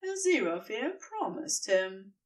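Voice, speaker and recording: Slow, expressive woman narrator, higher-pitched. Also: recorded from a distance. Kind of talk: scripted story narration.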